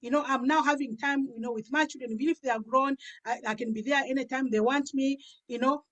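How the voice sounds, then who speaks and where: A woman's voice: high voice, steady tone, fast talker, fairly bright tone. A woman pastor, giving a personal testimony in conversation, stressing points by repeating them. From Africa. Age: 50s. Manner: emphatic, repeats phrases for stress.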